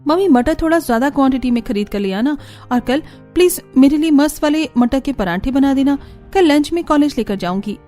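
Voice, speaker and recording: A woman voicing a bubbly, fussy young college woman in a cartoon story, expressive and playfully whiny. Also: high-pitched, brisk, soft background music. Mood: pleading.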